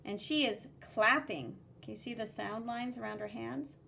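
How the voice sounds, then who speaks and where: A woman teacher reading a picture book aloud to children, explaining the drawings in a simple, instructive, expressive way with sing-song emphasis on key words. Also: a little high, moderate pace, gravelly, slight background noise.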